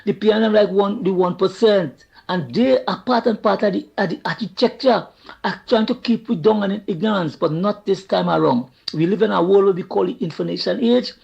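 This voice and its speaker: A man with a Trinidadian accent calling in to a show, speaking in an earnest, preacherly way with a gravelly, husky voice. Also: sits high, a little fast, a little mellow. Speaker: caller. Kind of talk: impassioned call-in testimony with religious language. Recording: dull lo-fi call audio.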